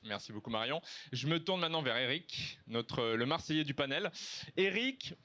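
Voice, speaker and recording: A man's voice: on the high side, lively intonation, moderate pace, pushed and bright. A man moderating a live conference panel, speaking to the audience in an informal, chatty way. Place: live festival stage with audience.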